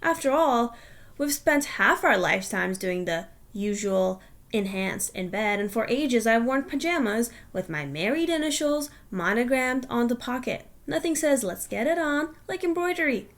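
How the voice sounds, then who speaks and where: High-pitched woman reading a comic essay aloud. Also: lively intonation, moderate pace. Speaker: reader of a humorous personal essay.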